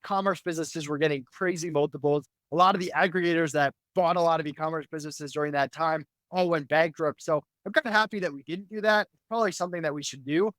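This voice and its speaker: A man in his thirties, an entrepreneur guest on a podcast, talking candidly and quickly with lots of fillers. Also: a little high, fairly bright tone, no echo. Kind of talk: conversational interview.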